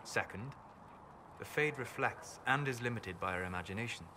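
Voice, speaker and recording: An actor voicing a calm, reserved elven apostate mage in a video game, speaking in a measured, formal, thoughtful way. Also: male voice, mid-range voice, lightly acted. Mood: reflective. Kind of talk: video game dialogue acting. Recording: clear ambient noise behind game dialogue.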